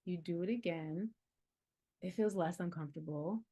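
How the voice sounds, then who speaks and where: A woman's voice: fairly low pitch, normal speed, dark tone, breathy edge. A woman in her forties, an herbalist and healing coach, talking casually and earnestly with frequent laughs and many fillers. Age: 40s. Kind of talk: casual reflective talk.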